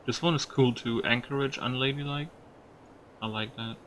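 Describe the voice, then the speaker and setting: A man's voice: mid-range voice, fairly even intonation, normal speed, a bit bright, a little hiss or hum. A man giving casual video game commentary, musing aloud with some mild swearing. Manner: musing aloud, mildly profane.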